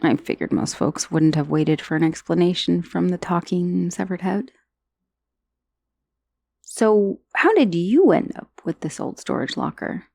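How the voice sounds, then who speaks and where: A woman's voice: fairly low pitch, a little slow, gravelly, warm tone, no echo. Woman voicing a wry undead severed head, dry close recording. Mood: resigned, curious. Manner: conversational, dryly sardonic, with sighs and hesitations. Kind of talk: ASMR roleplay monologue.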